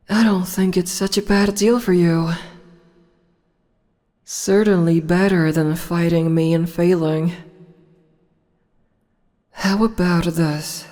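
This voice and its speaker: A regal demon empress, played by a woman, purrs her taunts in a low, mellow voice with the odd whisper. She speaks very slowly and lingers on each word. Her voice trails off into a long reverb. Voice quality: soft, slow and teasing, with whispered asides. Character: teasing female demon empress, regal and amused. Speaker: voice actor. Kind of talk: ASMR audio roleplay.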